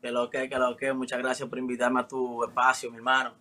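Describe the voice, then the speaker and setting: Young Dominican man, bright relaxed voice. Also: medium pitch, a little fast. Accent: Dominican Spanish. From Dominican Republic. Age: 20s. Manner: relaxed, street slang with frequent "me entiendes" tags. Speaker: rapper guest. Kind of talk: casual interview answers.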